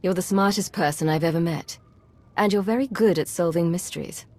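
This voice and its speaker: Secret agent woman, lowish husky voice, steely, composed, serious, direct, loyal, video game dialogue. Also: a little fast, a little mellow. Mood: composed, earnest.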